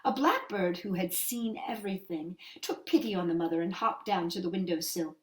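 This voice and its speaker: A woman's voice: on the low side, moderate pace, roomy sound. A woman reading a children's story expressively, with dramatic, emphatic phrasing.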